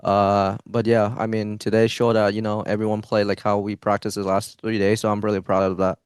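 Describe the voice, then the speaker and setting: A man's voice: lowish voice, flat delivery, a little fast, a little mellow, clean tone, dry recording, studio quality. A young man, a pro esports player, answering interview questions in a hesitant way, with many fillers and restarts. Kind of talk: post-match interview answers.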